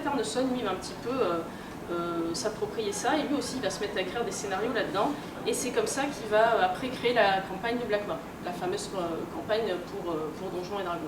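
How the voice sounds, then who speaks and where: Female lecturer, conversational and hesitant, mid-pitched, recorded at a distance with clear background noise. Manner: hesitant, filler-heavy, conversational delivery. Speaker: lecturer, games researcher and editor. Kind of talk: informal conference talk. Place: indoor convention talk room. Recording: roomy, noisy convention room, distant mic.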